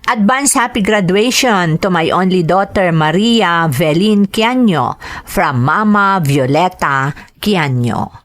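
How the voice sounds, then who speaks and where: A woman with an Ilocano accent hosting a radio show, reading scripted material aloud with drawn-out phrase endings. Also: on the low side, animated. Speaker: radio drama host reading greetings and a listener's letter.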